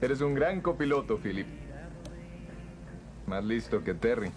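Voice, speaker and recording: A man dubbing a film role as an escaped male convict, talking softly and patiently to a boy like a father. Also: mid-range voice, expressive, measured pace, close to an everyday voice. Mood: fond. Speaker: dubbing actor. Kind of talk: film dub acting.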